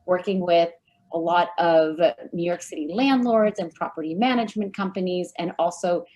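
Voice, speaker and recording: Interview guest: a woman with a lowish voice, friendly and measured. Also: darkish tone. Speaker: guest, recruiting firm founder. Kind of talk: interview answers.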